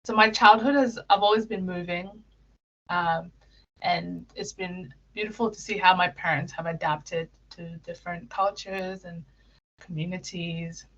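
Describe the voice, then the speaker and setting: A young woman from Kenya chats through interview questions, laughing easily and tripping over her own words. Her voice sits a little low, and the recording sounds muffled and lo-fi. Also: steady tone, a little slow, fairly bright tone. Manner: giggly, many fillers and restarts. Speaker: interview guest. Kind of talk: casual interview answers.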